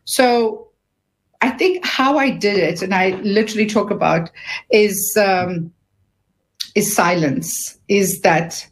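A woman in her fifties, an author being interviewed, speaking earnestly and reflectively, often restarting her sentences as she searches for words. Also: mid-range voice. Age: 50s. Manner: describes herself as having a loud voice. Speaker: author and guest.

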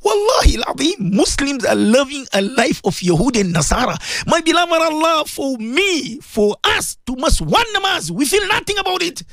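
A man's voice: very high voice, sing-song, normal speed, creaky, hardly any pauses, fairly bright tone, dry recording. A South African man preaching an impassioned supplication, pleading and emotional, repeating his phrases. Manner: pleading, emotional, repetitive, often rising to a cry. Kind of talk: impassioned religious supplication sermon.

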